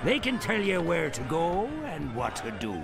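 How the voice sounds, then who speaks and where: An actor voicing a jovial, boisterous pirate in a video game, with a hearty, theatrical, drawn-out delivery. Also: male voice, fairly high pitch, big pitch swings, gravelly. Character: jovial, boisterous bearded pirate drinking in a tavern. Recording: loud music and tavern crowd behind.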